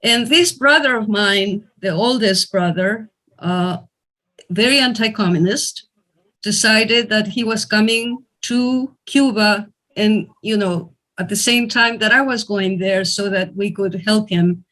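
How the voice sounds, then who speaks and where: A woman's voice: mid-range voice, measured pace, fairly bright tone, rough recording. Earnest woman from Ecuador telling a story. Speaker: event host. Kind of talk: fundraising appeal and personal story.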